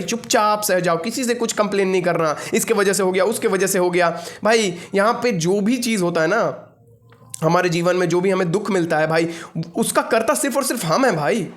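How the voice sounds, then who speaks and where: A young adult man speaking as a spiritual teacher, giving an informal devotional discourse in an earnest, instructive manner with repeated phrases for emphasis. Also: high-pitched. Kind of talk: devotional discourse, mixing reading with informal explanation.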